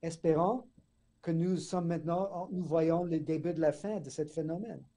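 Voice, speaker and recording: Earnest professor with a very dark voice. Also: male voice, fairly high pitch, moderate pace. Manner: earnest, restarts words. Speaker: political science professor. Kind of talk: radio interview guest.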